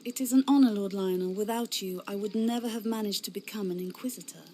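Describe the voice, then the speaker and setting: A woman's voice: medium pitch, fast talker, mellow, a little hiss or hum, lightly acted. A woman voicing a young, zealous female inquisitor in a game cutscene, speaking in an earnest, formal and fervent way. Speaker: narrator and game character.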